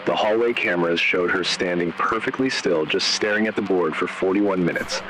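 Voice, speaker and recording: Deep-voiced man narrating horror over static. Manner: measured, grave, short dramatic phrases. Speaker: narrator of an analog horror video. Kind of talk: slow, ominous scripted horror narration. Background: static noise.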